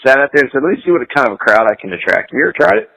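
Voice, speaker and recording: Fast-talking chummy radio host man. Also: mid-range voice, sing-song, gravelly, pushed and bright, rough recording. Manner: upbeat, chummy, calls guest "man". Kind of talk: internet radio interview. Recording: radio broadcast.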